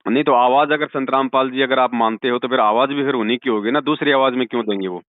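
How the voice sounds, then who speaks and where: A man's voice: medium pitch, steady tone, fast, no room sound. A man giving religious counsel over the phone, speaking in an insistent, preachy way and leaning on devotional sayings. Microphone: telephone. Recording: tinny phone call recording.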